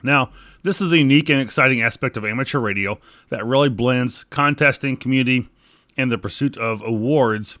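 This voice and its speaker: Male, American, podcast presenter. Medium-pitched voice, scripted reads and informal asides, very dry studio-like sound. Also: a little fast, a bit bright, no room sound. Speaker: podcast host. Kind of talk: podcast news reading and sponsor reads.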